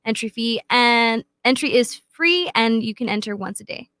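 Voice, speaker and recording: A woman's voice: a little high, animated, moderate pace, fairly bright tone, no room sound, clean studio sound. Woman co-host reading radio news. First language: not English. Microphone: studio microphone.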